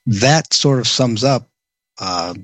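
A man presenting a program at a meeting, speaking in a halting way with many pauses and restarts. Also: medium pitch, animated, dry recording. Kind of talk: informal briefing.

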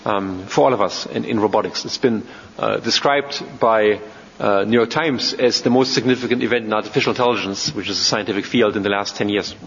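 A man's voice: on the low side, fairly bright tone, slightly rough. German-accented man lecturing in a reverberant hall. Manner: hesitant, halting delivery with frequent ums and repeated words. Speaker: robotics researcher giving a talk. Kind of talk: informal technical lecture to an audience. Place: large reverberant hall, speaking to an audience. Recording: muffled, noisy, reverberant hall.

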